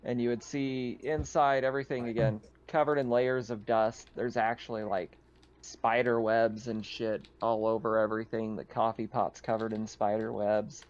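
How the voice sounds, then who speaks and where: A man's voice: on the low side, flat delivery, normal speed, dull audio. A man improvising casual, informal narration as game master of a tabletop roleplaying game, with some swearing.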